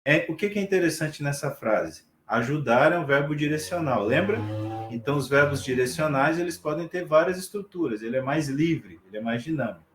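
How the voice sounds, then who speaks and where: A man, teaching a class live over a video call, explaining calmly and checking in with his students often. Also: a little high, brisk, echoey room, soft background music. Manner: relaxed explaining with frequent check-ins to students. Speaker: sign language teacher. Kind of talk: online class lecture.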